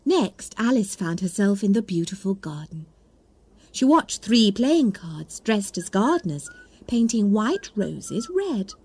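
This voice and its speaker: A woman narrating a children's read-along story in an expressive, deliberate storytelling manner. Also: mid-range voice, slightly rough, no room sound. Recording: music bed under the voice. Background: music.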